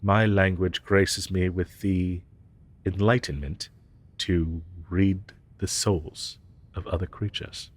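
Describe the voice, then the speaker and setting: A man's voice: low-pitched, steady tone, slight background noise. A man playing a haughty, ancient dragon professor in a roleplay audio, speaking in archaic, formal and condescending language. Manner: pompous, archaic, formal diction; disdainful and deliberate. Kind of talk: roleplay audio drama, scripted monologue.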